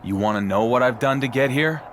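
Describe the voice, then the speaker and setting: An actor playing a cocky, wry underdog pro wrestler in a sports game, with dry, confident banter. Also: male voice, medium pitch, fairly quick, a bit bright, a little hiss or hum, close to an everyday voice. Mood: defiant. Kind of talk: cinematic sports game dialogue.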